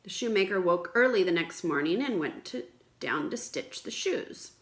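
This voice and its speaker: A woman teacher reading aloud to children in an expressive, explanatory way, with questions for the listeners. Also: on the low side, normal speed. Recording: echoey room.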